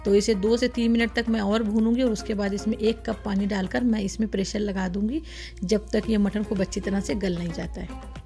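Woman, cooking host, chatty step-by-step voice-over, flat even tone, mellow voice, soft music behind. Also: medium pitch, fairly quick. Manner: chatty, explains each step, many fillers. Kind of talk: casual cooking demonstration voice-over.

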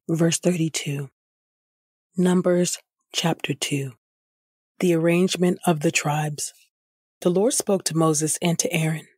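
A woman hosting a podcast and reading Bible passages from a script in a measured, formal manner. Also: on the low side, a little mellow, breathy edge, no room sound, studio quality. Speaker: podcast host and scripture reader.

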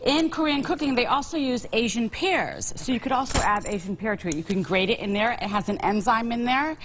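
A woman's voice: on the high side, lively intonation, a little fast, background noise. A middle-aged woman guest chef giving a cooking demonstration on a TV show, friendly and explanatory with frequent fillers. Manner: friendly explanatory, with many "um"s and "you know"s. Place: TV studio kitchen set.

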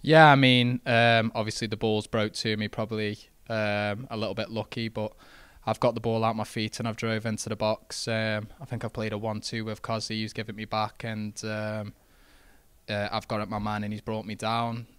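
A young man, a footballer answering post-match interview questions in a relaxed, modest way, with many fillers and restarts. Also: fairly low pitch, moderate pace, clean tone, no echo. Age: young adult. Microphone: handheld interview mic.

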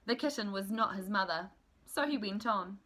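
A woman in her thirties reading a children's storybook aloud in an expressive, deliberate, emphatic way. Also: medium pitch. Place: small room at home, indoors.

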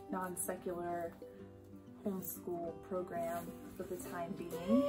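A woman in her thirties chatting informally and explaining things to camera as the host of a family homeschooling vlog, recorded indoors at home. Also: mid-range voice. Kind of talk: casual family vlog chat, showing items to camera. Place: home room with bookshelf, indoors. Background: music.

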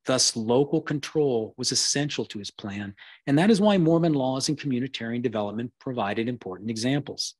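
A man giving a keynote history lecture, reading in a measured way with occasional restarts. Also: mid-range voice, lively intonation, slightly rough, no echo. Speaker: keynote speaker.

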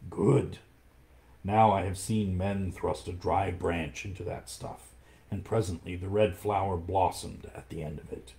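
Quiet low panther voice, calm, watchful, man doing it. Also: measured pace, gravelly. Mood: hushed. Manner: low, hushed.

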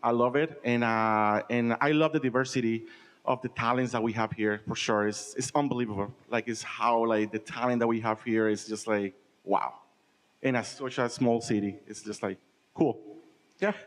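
A man's voice: mid-range voice, fairly even intonation, normal speed. Man in a reverberant hall. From Colombia. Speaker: festival organizer guest. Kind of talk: casual onstage interview. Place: museum event room with live audience. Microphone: handheld mic.

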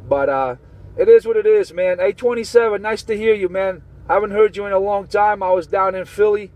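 A man's voice: high voice, fairly quick, darkish tone, rough recording. A man chatting on a CB radio, slangy and swearing, trading call signs. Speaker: CB radio operator. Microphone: radio transceiver mic. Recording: transmitted over CB radio.

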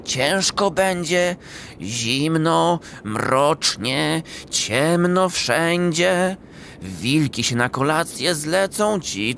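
A man voicing a caring but gruff forest creature in a children's radio play, giving urgent warnings. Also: on the high side, a bit bright, a little hiss or hum, heavily acted.

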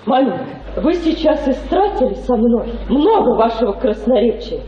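A woman's voice: a little high, very animated, moderate pace, dull audio, a crowd in the background. Proud, resolute young woman, echoey room. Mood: bitter resolve. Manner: declamatory, emphatic, tensely formal. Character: proud, bitterly resolute young daughter of a provincial lady. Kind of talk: radio drama acting.

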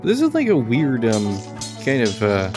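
A man's voice: mid-range voice, wildly swooping pitch, measured pace. A man giving casual, understated let's play commentary, musing aloud as he plays. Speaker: gaming video host. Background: game music behind the voice.

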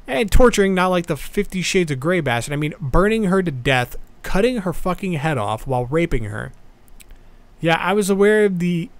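A man giving casual, sarcastic reaction commentary, reading text aloud and reacting with incredulous, sweary asides. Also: a little high. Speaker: host of a manga review reaction video. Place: voice-over on a screen recording.

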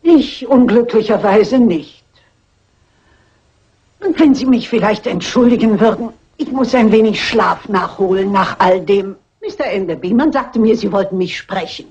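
An actress playing a shrewd, composed elderly spinster detective, deliberate and dryly polite, in a dubbed crime film. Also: female voice, mid-range voice, a little mellow, slightly rough. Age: older. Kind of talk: 1960s crime film dub. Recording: muffled old film soundtrack with music.

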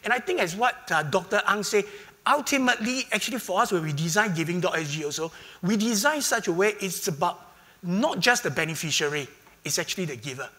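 Bright, high-voiced male panelist. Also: animated, a little fast. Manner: enthusiastic, filler-heavy with "you know" and "actually". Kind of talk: panel discussion.

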